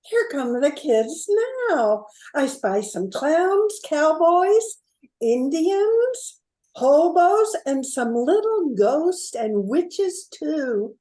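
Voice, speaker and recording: An older woman playing a jittery small-town mayor in an amateur radio play, flustered and halting. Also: sits high, sing-song, warm tone, reverberant, full character voice. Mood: pleased. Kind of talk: amateur old-time radio play acting.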